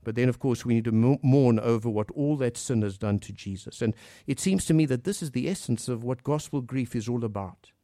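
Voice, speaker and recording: A man from Africa preaching a sermon earnestly, posing rhetorical questions and swinging between hushed and emphatic delivery. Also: medium pitch, a little fast, few pauses, no room sound, studio quality.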